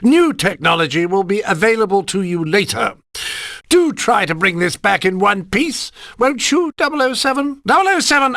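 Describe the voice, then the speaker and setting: An actor playing a fussy, proud gadget inventor who briefs a spy precisely in a video game. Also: male voice, sits high, sharp tone, dry recording, clean studio sound, heavily acted. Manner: precise, instructive, slightly fussy.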